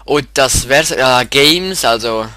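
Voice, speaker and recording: A man with a Swiss German accent, hosting his own channel and walking viewers through his pages in a halting, rambling way, stuffy with a head cold. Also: medium pitch, bright, forceful tone, no room sound, studio quality. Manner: halting, rambling, many restarts and fillers. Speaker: YouTube channel host. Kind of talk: casual screen-share info video.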